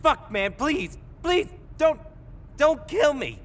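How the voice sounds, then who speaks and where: Very high-pitched cowering street dealer. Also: male voice, sing-song, slow, a bit bright, heavily acted. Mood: terrified pleading. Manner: pleading, stammering, profane. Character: cowering, frightened small-time male drug dealer.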